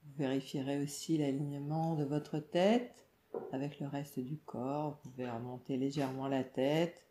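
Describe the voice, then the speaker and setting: A woman meditation teacher leading a guided relaxation in a calm, soothing, instructional way, with short phrases and pauses. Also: rumbling low, a little hiss or hum. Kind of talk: guided yoga nidra relaxation narration. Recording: roomy, echoing voice recording.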